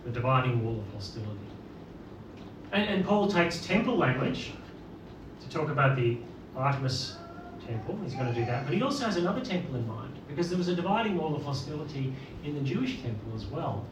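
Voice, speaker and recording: A man's voice: medium pitch, very animated, a little fast. A middle-aged man from Northern Ireland with a Northern Irish accent, preaching a sermon and asking the congregation rhetorical questions. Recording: roomy, distant mic with background noise.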